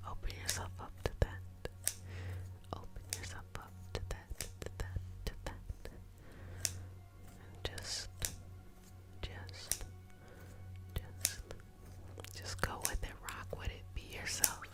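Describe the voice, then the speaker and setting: A woman whispers her way through a guided reiki meditation for ASMR. Her delivery is very unhurried and soothing, repeating phrases with gentle encouragement. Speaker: ASMR reiki healer guiding a session.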